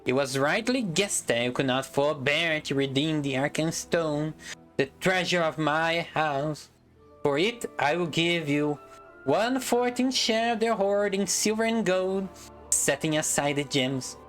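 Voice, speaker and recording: A man voicing a proud, wrathful dwarf king who shouts in anger. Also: on the high side, unhurried, soft background music. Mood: grim. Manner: stern, shouting in rage.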